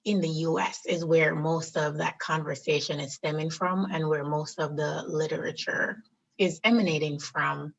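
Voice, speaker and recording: A woman's voice: low-pitched, fairly even intonation, moderate pace, creaky, dull audio. A woman hosting a podcast, reading a sponsor message and asking her guest questions. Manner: reads sponsor copy, then asks questions. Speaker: podcast host.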